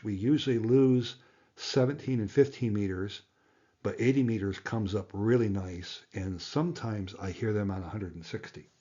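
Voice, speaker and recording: A man's voice: on the low side, dark tone, breathy edge, highs cut off. A man giving a plain-spoken, emphatic tutorial as an instructor, explaining things step by step. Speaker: amateur radio instructor and presenter.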